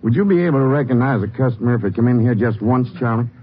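A man's voice: medium pitch, expressive, fast, natural voice. A man plays an easygoing country sheriff who talks in a casual, folksy way. The sound is dull old radio with a faint hiss. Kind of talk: 1950s radio drama acting.

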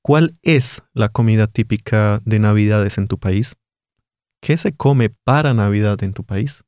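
A man's voice: on the low side, measured pace, a little mellow, clean tone, no echo, studio quality. A man with a Colombian accent, co-hosting an educational podcast and asking quiz-style questions. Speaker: podcast co-host.

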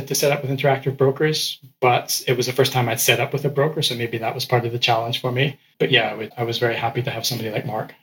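A man who grew up in Ecuador, a podcast guest telling his story in a relaxed conversational way, often repeating words as he restarts sentences. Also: mid-range voice, poor audio. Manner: frequent word repeats and restarts.

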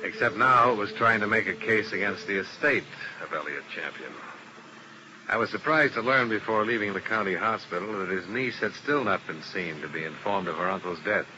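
A man's voice: lowish voice, expressive, brisk. A man narrating and playing the lead detective in a 1950s radio drama, terse and hard-boiled. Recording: faintly noisy old radio recording.

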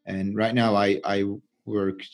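A middle-aged man with a Turkish accent, giving unscripted interview answers as a scientist, halting and often repeating words. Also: on the low side, little pitch movement. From Turkey. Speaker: interview guest, research scientist and physician.

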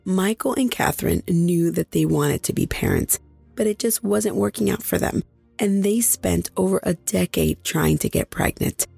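A woman hosting a true crime podcast, hoarse after nearly losing her voice, chatting directly with her listeners. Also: lowish voice, moderate pace, dark tone, dry recording, faint music behind. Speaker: podcast host.

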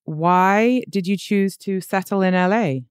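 A woman's voice: mid-range voice, measured pace, clean tone, dry recording. Woman, interviewer, probing questions, dry close recording. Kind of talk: conversational interview.

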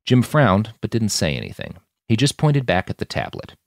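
Deep-voiced man narrating fiction with animation. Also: wildly swooping pitch, normal speed, gravelly, a little mellow, no room sound, studio quality. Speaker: author reading his fiction podcast. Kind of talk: scripted dramatic audiobook narration.

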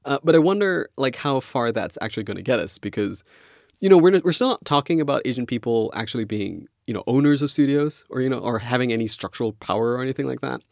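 A man's voice: mid-range voice, animated, fast, vocal fry, darkish tone, dry recording, clean studio sound. A man hosting an interview podcast, speaking in a considered, conversational way. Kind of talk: conversational interviewing, scripted credits.

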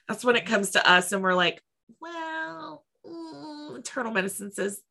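Woman, podcast co-host, bright tone, slightly congested nose, casual, animated delivery. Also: on the high side, slow pace, creaky. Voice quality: slightly stuffy nose from allergies. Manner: animated, acts out imagined quotes. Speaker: veterinary technician. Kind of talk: casual chat.

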